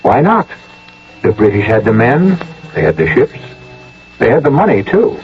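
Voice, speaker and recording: A man hosting and narrating a radio mystery drama in a theatrical, measured, rhetorical style, on a muffled old broadcast. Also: on the low side, gravelly.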